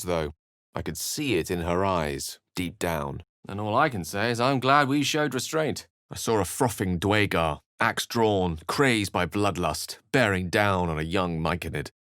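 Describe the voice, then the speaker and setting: Fantasy game wizard: low English voice, very dry sound, eloquent, measured and theatrical. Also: male voice, a bit bright, no echo. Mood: earnest, concerned, then ominous, storytelling. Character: learned, eloquent adult male wizard adventurer. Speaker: video game voice actor. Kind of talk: dramatic scripted game dialogue and narration.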